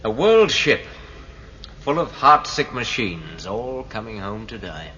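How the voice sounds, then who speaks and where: An actor playing a grave, knowing man in a sci-fi radio drama, speaking in slow, ominous, terse lines. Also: on the low side, very animated, pushed and bright, full character voice. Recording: dull, noisy old radio recording.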